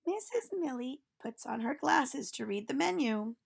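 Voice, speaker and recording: Woman storybook narrator, high pitch, slow delivery, teacherly read-aloud for children. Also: animated, vocal fry, breathy edge.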